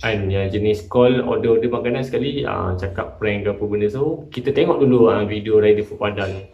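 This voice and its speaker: A man's voice: on the low side, brisk, dull audio, background noise. A young man with a Malaysian accent, speaking informally and admonishingly to camera as a vlogger giving casual commentary. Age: young adult. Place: small bare-walled room indoors. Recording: echoey room, distant microphone.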